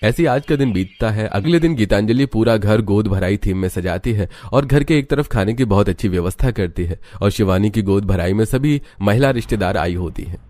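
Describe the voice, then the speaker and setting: A man's voice: lowish voice, expressive, fairly quick, few pauses, a little mellow, dry recording, faint music behind. A man narrating a scripted story in the style of a storybook.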